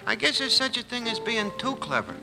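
A man's voice: very high voice, big pitch swings, a little fast, gravelly, heavily acted. An American actor playing a naive, chatty, folksy barman sidekick in a 1940s radio drama. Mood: wondering, chummy. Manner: folksy, wondering. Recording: vintage 1940s radio broadcast with music.

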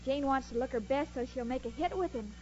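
Very high-pitched ditzy young woman, acted, old radio. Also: fairly quick, darkish tone, natural voice. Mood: sweet, simple. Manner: guileless, earnest, hesitant. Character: sweet, naive, dim-witted young woman, chipper scatterbrain. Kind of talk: 1940s radio comedy acting. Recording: dull, noisy old radio recording.

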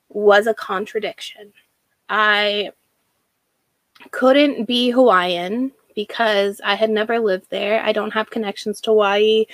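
American woman, slightly high voice, unhurried and close-sounding. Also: a bit bright, dry recording. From Washington state, United States. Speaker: speaker sharing a personal story. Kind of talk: reflective personal monologue.

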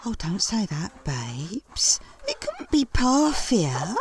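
A woman acting as a slyly sweet, coaxing wife in an audio comedy drama, speaking in a deliberate, emphatic way. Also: mid-range voice, wildly swooping pitch, darkish tone. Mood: insinuating.

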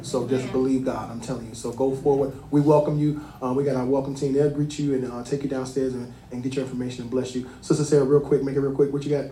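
Laughing pastor in an echoey church. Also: male voice, medium pitch, flat delivery, fast, few pauses, mellow, slight background noise. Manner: laughing, folksy, emphatic. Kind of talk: church service welcome and closing prayer. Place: church sanctuary.

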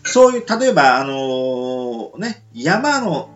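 A man's voice: medium pitch, sing-song, takes it slowly, muffled recording, a crowd in the background. A man co-hosting a casual radio chat, rambling with many fillers. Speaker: radio show co-host.

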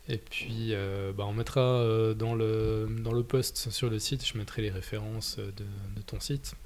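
Male podcast host, low gravelly voice, relaxed conversational tone. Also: slow pace. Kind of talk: casual interview conversation.

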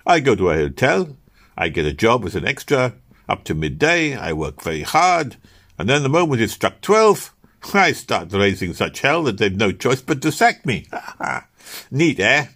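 A man's voice: medium pitch, very animated, a little slow, fairly bright tone, voice pushed up, full character voice. A man voicing a cheeky young male waiter who boasts breezily about his scheme. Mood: boastful.

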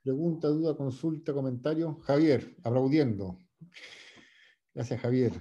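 A man's voice: medium pitch, measured pace, mellow, dull audio. A man moderating an online discussion, enthusiastic and rambling.

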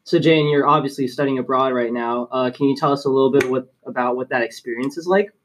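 Young male host, mid pitch, jokey and casual. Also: fairly even intonation, quick pace, a little hiss or hum, poor audio. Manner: playful, jokey wrap-ups. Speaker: podcast host. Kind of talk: casual podcast chat.

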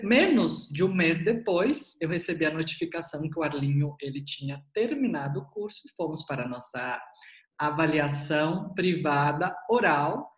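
A man interviewing a student about an online language course. He talks in a casual, animated way, exclaims often and repeats key words. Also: fairly high pitch, reverberant.

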